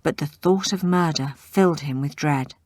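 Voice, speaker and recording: A woman's voice: low voice, moderate pace, darkish tone, dry recording. A woman reading a story aloud as a scripted read-along narrator.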